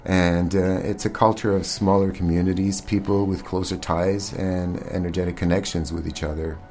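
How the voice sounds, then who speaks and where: A very deep male voice answers questions as a studio guest on a radio show. He is earnest and hesitant, filling his pauses with ums. Soft music hums faintly in the background. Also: little pitch movement. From born in Armenia, raised in Montreal. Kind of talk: casual interview answers. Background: faint ambient music.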